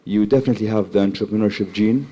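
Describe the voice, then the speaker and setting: Hoarse kuwaiti guy with the flu, low mellow voice, earnestly asks from the audience. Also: quick pace, highs cut off. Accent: Kuwaiti English. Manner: earnest, praising. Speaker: audience member asking question.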